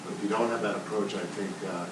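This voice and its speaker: Deep-ish voice guy in the audience, earnest, far from the mic, lots of noise and echo. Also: steady tone, fast. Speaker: audience member, clinician. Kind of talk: comment from the floor. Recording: distant room mic, loud noise, echo.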